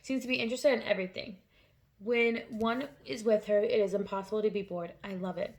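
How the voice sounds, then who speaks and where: A woman voicing a gentle female schoolteacher who speaks quietly and calmly. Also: medium pitch, a little fast, lightly acted. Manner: quiet, soft-spoken.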